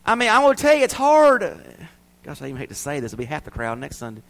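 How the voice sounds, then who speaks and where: A man preaching a sermon to his church, swinging from loud emphasis to hushed asides. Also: on the high side, huge pitch swings, fast, creaky. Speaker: pastor.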